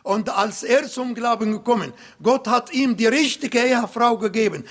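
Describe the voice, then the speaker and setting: A man preaching a sermon in German with an Arabic accent, non-native grammar and emphatic, slowly built phrases. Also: very high-pitched. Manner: emphatic, slow, swells louder on key points.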